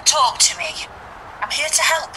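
A female detective inspector, played by an actress in an audio drama, concerned and persistent. Her voice is very bright and sharp and fairly high, coaxing and measured, over faint background noise. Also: very animated, heavily acted, low-quality recording. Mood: urgent, reassuring.

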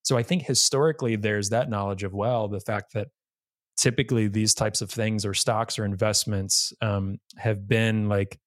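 A man's voice: lowish voice, fairly even intonation, moderate pace, no room sound, studio quality. A man hosting a podcast, talking casually and rambling, leaning heavily on "like" and restarting his sentences. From United States, Massachusetts area. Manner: rambling, heavy use of "like", restarts. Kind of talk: casual interview conversation.

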